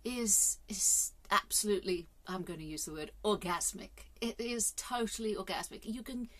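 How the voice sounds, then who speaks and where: A woman's voice: mid-range voice, normal speed, a bit bright. A woman in her fifties from England, musing earnestly in a casual vlog, filmed on a phone held at arm's length inside a caravan. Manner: earnest, musing, with hesitant fillers. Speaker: vlogger. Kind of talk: casual reflective monologue. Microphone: phone camera at arm's length.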